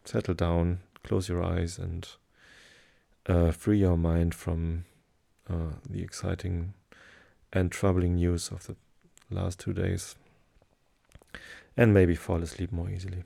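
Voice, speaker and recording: A German-speaking man with a German accent, hosting a sleep podcast and reading aloud in a low, soothing, hesitant way. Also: vocal fry. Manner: hesitant, many fillers, slow calm delivery.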